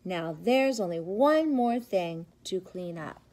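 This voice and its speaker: A middle-aged woman, a preschool teacher, reads a picture book aloud to young children with expressive, rhythmic, sing-song phrasing. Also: fairly low pitch, takes it slowly. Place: indoors, school classroom. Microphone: device camera at about arm's length.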